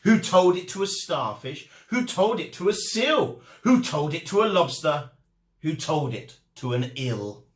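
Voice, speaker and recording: A man narrating a children's storybook in an expressive, deliberate and dramatic way as a storytime reader. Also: fairly high pitch, reverberant.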